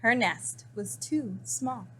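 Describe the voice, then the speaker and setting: A woman reading a children's picture book aloud expressively, with dramatic emphasis and pauses. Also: a little high, fairly bright tone, husky. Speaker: story reader.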